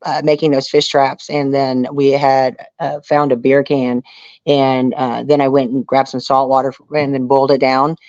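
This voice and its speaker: A woman's voice: a bass voice, little pitch movement, moderate pace, darkish tone, no room sound. Female interview guest, earnest and conversational, close dry sound on a slightly muffled lo-fi recording. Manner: earnest, peppered with "you know". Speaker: interview guest, reality survival show contestant. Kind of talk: casual interview storytelling. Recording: dull lo-fi audio.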